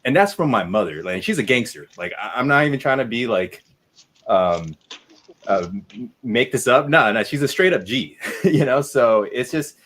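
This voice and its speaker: A man's voice: medium pitch, animated, moderate pace, fairly bright tone. A man in his thirties whose first language was Vietnamese, speaking as a guest in an earnest, reflective way. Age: 30s. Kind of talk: casual discussion.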